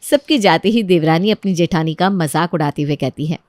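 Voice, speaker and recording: A woman's voice: fairly low pitch, brisk, a little mellow, dry recording, clean studio sound. A woman narrating a scripted moral story as a storyteller.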